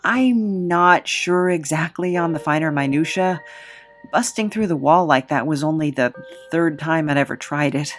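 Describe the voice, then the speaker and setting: A beleaguered, sheepish hero, played by a woman, speaks in a slightly low voice. The delivery is hesitant and wry, in comic audio drama style. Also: moderate pace, soft background music, lightly acted. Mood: explaining.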